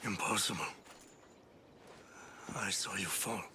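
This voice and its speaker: A man's voice: low voice, slow pace, sharp tone, slight background noise, exaggerated voice. A man playing a stern, proud middle-aged Spartan warrior, solemn and formal, with a Greek accent, in game drama. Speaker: video game voice actor. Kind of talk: dramatic game cutscene acting.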